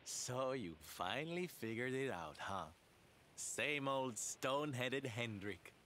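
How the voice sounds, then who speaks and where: A man's voice: medium pitch, lively intonation, slow pace, sharp tone, a little hiss or hum. An actor voicing a flamboyant, theatrical male entertainer in a video game, playful and teasing in a dramatic, showy way.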